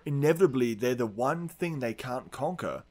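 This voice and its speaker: A man's voice: medium pitch, expressive, moderate pace, a little mellow. Informal man riffing on a podcast. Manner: informal, riffs in earnest on absurd theories, says dude often. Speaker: podcast co-host. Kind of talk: casual comedic chat, pop-culture debate.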